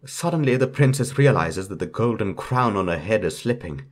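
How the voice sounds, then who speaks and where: A man's voice: lowish voice, lively intonation, normal speed. A man reading a fairytale aloud as a storyteller, in scripted narration.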